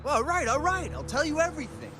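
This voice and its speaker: A man voicing a glib, cowardly and defensive gang leader in a video game, theatrical and quick to swing between bluster and appeasement. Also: very high voice, animated. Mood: placating. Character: shifty male gang leader posing as an exile, cowardly and defensive. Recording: loud game music underneath.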